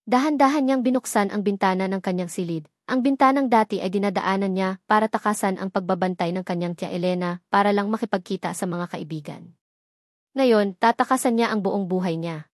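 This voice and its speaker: Female narrator for a dramatic storybook read, even and dramatic, mid-range voice, dry close recording. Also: mid-range voice, no room sound.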